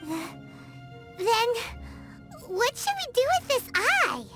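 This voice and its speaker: A woman voicing a tiny, excitable childlike fairy companion in a video game, with squeaky, exclamatory, naive delivery. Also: slow pace, heavily acted. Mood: puzzled, worried. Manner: exclamatory, squeaky cartoon delivery, refers to herself in third person. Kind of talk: English video game voice acting. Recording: loud music behind the voice.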